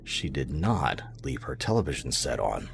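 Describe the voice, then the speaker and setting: A man reading dramatic scripted narration for a suspenseful mystery audio drama, in a measured way. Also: rumbling low, sing-song, creaky, soft background music, exaggerated voice.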